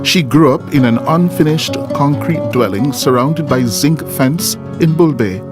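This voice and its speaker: A man's voice: mid-range voice, measured pace. Man narrating over prominent guitar music. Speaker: documentary narrator, reporter. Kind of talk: scripted documentary narration. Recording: prominent music bed behind voice.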